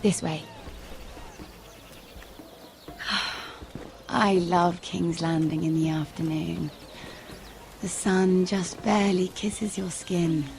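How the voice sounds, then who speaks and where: Slow, confiding young handmaiden. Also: female voice, lowish voice, fairly even intonation, creaky, a little mellow, slight background noise. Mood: wistful, playful. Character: genteel young handmaiden, confiding, coaxing, secretive. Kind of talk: video game voice acting. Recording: faint music behind.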